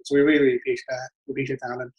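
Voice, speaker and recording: A man's voice: mid-range voice, level tone, racing. A man, chatting easily and joking as co-host at home. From Ireland. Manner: easygoing, joking. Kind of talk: casual chat. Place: home sitting room. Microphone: far camera.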